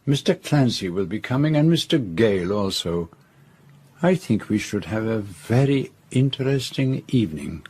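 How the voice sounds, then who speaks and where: An actor playing a courteous, theatrical older Belgian detective in a radio drama, with a French-speaking Belgian accent and formal, precise, deliberate speech. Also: male voice, lowish voice, expressive, a little mellow, breathy edge.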